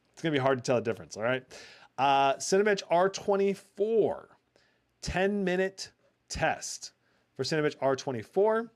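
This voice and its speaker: Chatty, loud-voiced tech reviewer man. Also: on the high side. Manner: chatty, many fillers, talks through numbers on screen. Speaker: tech reviewer hosting a livestream. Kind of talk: casual live benchmark commentary.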